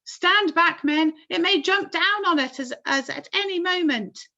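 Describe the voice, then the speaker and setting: A woman voicing a blustering, panicky police chief in a read-aloud story, shouting his lines. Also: extremely high pitch, measured pace, vocal fry, voice pushed up, full character voice. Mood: alarmed. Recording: dull lo-fi recording.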